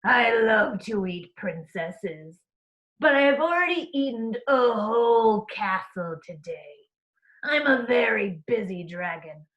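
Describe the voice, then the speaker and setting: Woman playing a slow, boastful storybook dragon. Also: fairly high pitch, animated, a bit bright, lo-fi sound. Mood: dismissive, boastful. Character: boastful, busy, princess-eating male dragon.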